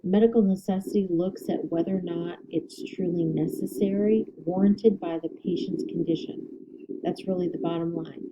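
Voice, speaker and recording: A woman instructor giving a recorded lecture, her voice a little hoarse, explaining steadily with ums and self-corrections. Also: on the low side, very noisy. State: slightly hoarse, clearing throat.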